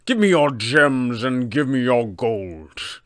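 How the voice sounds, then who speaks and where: Tabletop villain: man as boastful, treasure-hungry pirate captain, slow grandiose delivery, smooth and dry. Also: medium pitch, animated, a bit bright, no echo. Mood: gloating, greedy. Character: greedy, boastful pirate captain with a magical eye.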